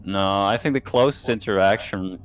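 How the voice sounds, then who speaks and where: A man's voice: fairly low pitch, normal speed, faint music behind. A man who plays in a tabletop game, chatting casually with his group. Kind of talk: casual chat.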